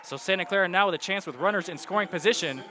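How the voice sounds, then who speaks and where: A young man calling baseball play-by-play on radio, walking through counts and base runners in a steady flow. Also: high-pitched, fast talker, a faint crowd, slight background noise. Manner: steady count-and-situation narration, rising loud on hits. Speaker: play-by-play announcer.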